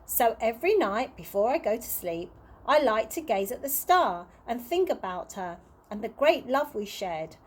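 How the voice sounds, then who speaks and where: A woman voicing a kindly, wistful old male tramp from a children's storybook, earnest and gently encouraging. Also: fairly high pitch, very animated, moderate pace, slightly rough. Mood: wistful, tender.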